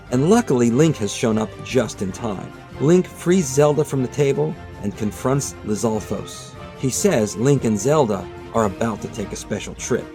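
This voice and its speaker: A man reading scripted, storybook-style narration in a measured, dramatic storytelling manner. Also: mid-range voice, dark tone. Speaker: narrator of a manga retelling video. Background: background music.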